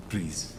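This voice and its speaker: A man's voice: lowish voice, noisy background, exaggerated voice. An actor playing a menacing Nazi officer with a German accent, mocking his prisoner theatrically, often close to a whisper. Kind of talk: dramatic game cutscene acting.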